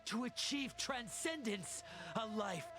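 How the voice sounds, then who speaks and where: A fanatical male cultist from a video game, savoring his words slowly and grandly. His pitch swings widely in a gloating, unhinged way, with music playing behind him. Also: animated, full character voice. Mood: fervent, unhinged. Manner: theatrical, grandiose, with whispers and manic laughter. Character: fanatical male cult disciple worshipping immortality, gloating and unhinged.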